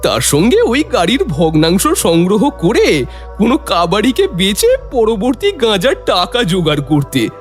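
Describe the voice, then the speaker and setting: A cheeky young sceptic in an audio drama, with a high voice that swings wildly up and down. He teases in a mock-formal manner, with music behind him. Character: cheeky sceptical young man mocking a ghost tale. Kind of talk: audio story character acting.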